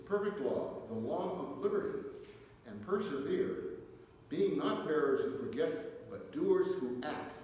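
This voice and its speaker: A man with a slightly high voice reads scripture to a church service in measured, deliberate phrases. He is picked up from a distance, and the long echo of the church hangs on his words. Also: sing-song, a little mellow. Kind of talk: liturgical scripture reading aloud. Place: inside a church, reverberant. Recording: echoey church hall, distant mic, faint noise.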